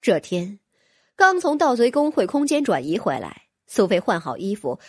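Lady reading a fantasy audiobook, very expressive, bit husky, higher voice, dry close sound. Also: normal speed, no room sound. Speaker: audiobook narrator. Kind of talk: scripted fantasy novel narration.

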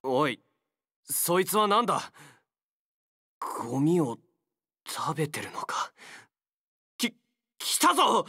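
A feral young male fighter from a game, wary and gruff. He speaks slowly in blunt, halting phrases, with pitch that moves widely from line to line. The sound is dry, with no room around the voice. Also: sing-song, sharp tone, dry recording, exaggerated voice. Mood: alarmed, hostile. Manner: blunt, terse, halting speech. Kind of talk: Japanese game character voice acting.

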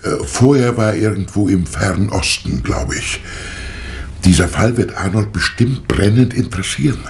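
An actor playing an absent-minded, hesitant professor who stammers, in a 1960s German radio crime drama. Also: male voice, fairly low pitch, expressive, normal speed. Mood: hesitant, puzzled. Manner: hesitant, stammering with many filler sounds.

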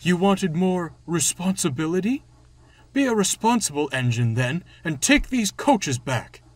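A man voicing a pompous, fuming big steam engine in a storybook, with a fussy, forceful manner. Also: high-pitched, sing-song, takes it slowly, husky, no echo, voice pushed up, full character voice.

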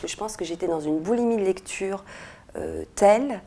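A woman in her forties, an author, answering interview questions thoughtfully with frequent pauses and restarts, recorded on a lapel mic in a quiet indoor room. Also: mid-range voice, vocal fry, heavy background noise. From France and Morocco. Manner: thoughtful, many hesitations and restarts. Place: indoor room with red walls, seated in armchair.

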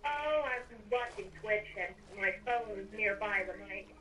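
A woman's voice: mid-range voice, measured pace, sharp tone, a little hiss or hum. A woman chatting casually as she plays a multiplayer roleplay game. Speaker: player in a Minecraft roleplay. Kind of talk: casual gaming chat. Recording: distant voice chat with clear music.

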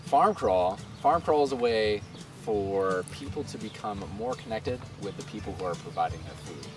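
Man, thirties, low voice, earnest farmer, casual interview, outdoors, distant mic. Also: animated, normal speed. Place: open farm field outdoors. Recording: distant outdoor camera mic, music underneath.

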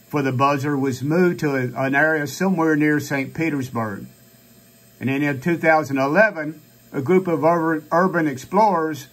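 A man in his sixties with an American accent, explaining informally to camera in a halting, matter-of-fact way. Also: on the high side, a bit bright. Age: 60s. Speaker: ham radio vlogger. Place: small home radio room, indoors.

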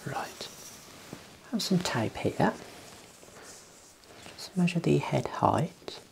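A man in his thirties doing an ASMR roleplay as an optician, whispering softly with calm clinical instructions into a close clip-on mic. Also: fairly high pitch, vocal fry, slight background noise, rough recording. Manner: hushed, unhurried, reassuring clinical instructions. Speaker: ASMR performer role-playing an optician. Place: small indoor room. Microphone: clip-on wireless mic on lapel, close.

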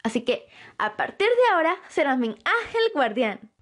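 A woman's voice: sits high, very animated, normal speed. A woman playing a bubbly, bold young girl in an amateur anime-style audio roleplay, gushing and giggly. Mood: bossy, coaxing.

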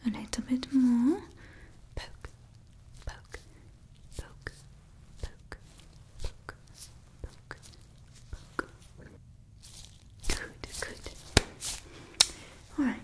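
A woman's voice: high-pitched, very slow, long pauses, a little mellow. A woman voicing a doting, sinister female evil scientist in an ASMR roleplay, mixing fawning praise with offhand menacing asides. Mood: clinical. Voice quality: whispered. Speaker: ASMR performer.

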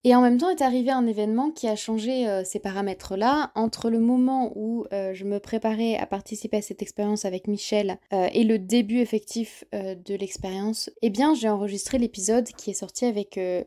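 A woman's voice: medium pitch, steady tone, fairly quick, few pauses, mellow. A French woman hosting a solo podcast, thinking aloud with many fillers and restarts as she reflects on an experience. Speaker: podcast host.

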